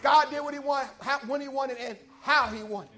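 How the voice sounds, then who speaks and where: A man with a strikingly high voice delivers a sermon. He builds in rhythmic waves, often shouting his lines out to the congregation. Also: fairly even intonation, moderate pace. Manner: rising into shouted, rhythmic preaching. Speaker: preacher.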